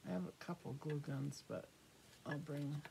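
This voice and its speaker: A woman's voice: deep voice, moderate pace. An American woman in her fifties chatting casually through a craft show-and-tell, thinking aloud and trailing off mid-sentence. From United States. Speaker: craft vlogger. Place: small cluttered indoor craft room. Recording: clear room noise, handling clicks.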